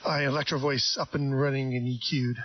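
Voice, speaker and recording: A man's voice: mid-range voice, level tone, a little fast, dry recording. A man chatting casually as an amateur radio operator over a radio transmission. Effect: ham radio transmission. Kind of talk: casual ham radio chat. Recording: dull hissy shortwave radio audio.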